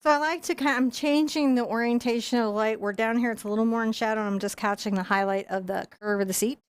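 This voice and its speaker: A woman's voice: a little high, steady tone, fast talker, clean tone, no echo. A woman giving a live painting lesson, chatting and laughing while she explains each brushstroke. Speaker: painting instructor.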